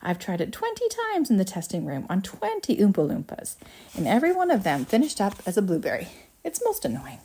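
A woman acts out an eccentric storybook candy maker, a fussy man who sighs and repeats himself. He sounds regretful yet unruffled, with lilting pitch and a slightly husky edge. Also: wildly swooping pitch, brisk, dark tone, full character voice. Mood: rueful. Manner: fussy, sighing, repetitive protests.

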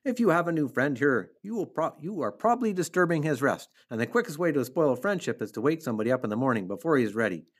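A man's voice: a little high, very animated, quick pace, few pauses, slightly rough, pitched above the performer's own voice. A man voicing a stern old sheep in a storybook, lecturing in a disapproving manner. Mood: scolding.

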